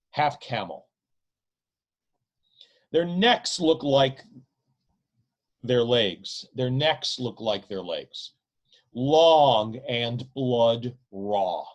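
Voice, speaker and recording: A man reading his own novel aloud in a dramatic literary style, with deliberate, emphatic delivery and repeated phrases. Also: mid-range voice.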